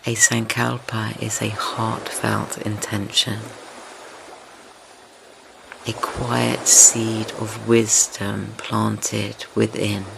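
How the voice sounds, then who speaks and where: A man leading a guided meditation in a gentle, soothing, tender manner, unhurried and with long pauses between phrases. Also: on the low side, gravelly. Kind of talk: slow guided meditation, yoga nidra. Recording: steady ocean wave noise behind voice. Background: ocean wave sounds.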